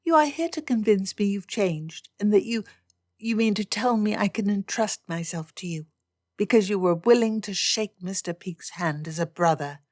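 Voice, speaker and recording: A woman voicing a spirited, stubborn young gentlewoman in a period romance audiobook, speaking in emphatic, impassioned formal phrases. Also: mid-range voice, normal speed, no echo, clean studio sound, close to an everyday voice. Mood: indignant.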